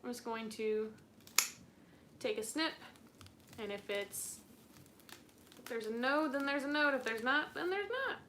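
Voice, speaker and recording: A woman's voice: on the high side, a little slow, long pauses, noisy background. A young woman hosting a casual live stream, chatting in a bubbly, rambling way with frequent giggles and lots of "like". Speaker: plant channel host.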